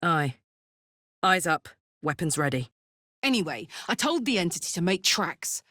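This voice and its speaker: A fiery tiefling warrior woman for a fantasy game. She speaks slowly and bluntly, wearing her big heart openly. Her pitch moves freely up and down, and the sound is dry with no room around it. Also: big pitch swings, a bit bright, no echo, heavily acted. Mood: casual, ready. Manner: blunt, informal, emotionally open soldier's talk. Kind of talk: video game character voice acting.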